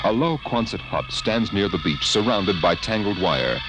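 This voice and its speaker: A man performing as a grave, suspenseful storyteller, narrating an old-time radio science fiction drama deliberately. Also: lowish voice, lively intonation, natural voice. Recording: old radio recording with music. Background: music.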